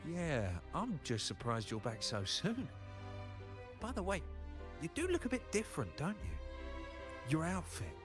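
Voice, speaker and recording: A man's voice: fairly high pitch, huge pitch swings, a little slow, pauses often, over music. An actor playing a chatty, curious man in a fantasy video game, with an inquisitive, conversational manner.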